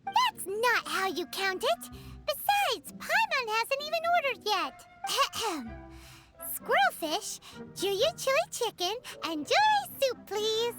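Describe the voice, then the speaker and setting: Casting: a tiny chirpy fairy sidekick, very high voice that swoops all over, loud game music behind. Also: female voice, wildly swooping pitch, slow pace, exaggerated voice. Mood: eager. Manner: bubbly, speaks of herself by name. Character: tiny chirpy floating fairy companion, greedy. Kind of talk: video game dialogue.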